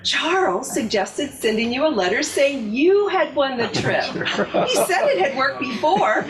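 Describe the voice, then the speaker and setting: Animated, high-voiced bride played by a woman. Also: a little slow, crowd noise, rough recording. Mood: gleeful. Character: sly, cheerful new bride scheming a honeymoon trip. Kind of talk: staged readers theater reading.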